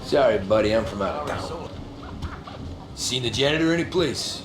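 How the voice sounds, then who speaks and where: A man's voice: mid-range voice, lively intonation, normal speed, natural voice. An actor playing a tough, terse 1930s mob hitman with an American accent in a cinematic game. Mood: guarded. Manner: terse, streetwise. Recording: roomy sound under loud music.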